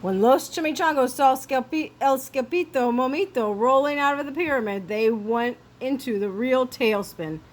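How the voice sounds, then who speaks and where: A woman storyteller reading a children's story aloud with expressive, theatrical emphasis. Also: sits high, moderate pace, hardly any pauses.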